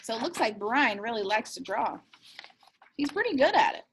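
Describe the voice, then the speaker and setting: A woman's voice: on the high side, big pitch swings, moderate pace, a bit bright, slight background noise. A woman teacher reading a picture book aloud expressively and asking young listeners questions.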